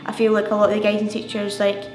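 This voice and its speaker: A woman's voice: mid-range voice, monotone, quick pace. Teen woman, matter-of-fact interviewee, level delivery, echoey space. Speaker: young volunteer. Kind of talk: interview talk. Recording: roomy sound over soft music.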